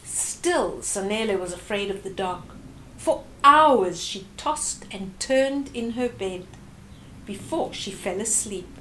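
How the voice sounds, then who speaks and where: A woman's voice: medium pitch, lively intonation, slow, a bit bright, slight background noise, low-quality recording. An older woman hosting a story time, reading aloud expressively and explaining things to young children.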